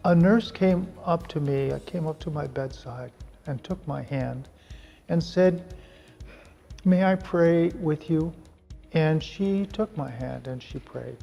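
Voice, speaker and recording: A man's voice: a little high, creaky, warm tone, dull audio. An older man, an emergency physician, speaking earnestly and reflectively in an interview. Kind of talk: interview testimony.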